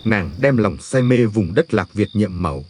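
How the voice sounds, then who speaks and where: A man reading a scripted legend as a storyteller, in a solemn, measured manner. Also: lowish voice, lively intonation. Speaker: narrator.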